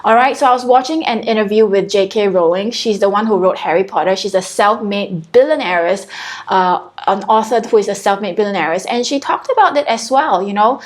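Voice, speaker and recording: A woman's voice: medium pitch, brisk. A woman from Singapore with a Singaporean English accent, hosting a casual spiritual coaching live stream from her home, chatty and repeating her phrases as she talks to viewers.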